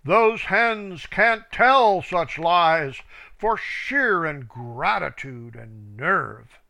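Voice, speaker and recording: A man's voice: fairly high pitch, animated, very slow, creaky, bright, forceful tone, heavily acted. A man doing a fussy scandalized mother duck.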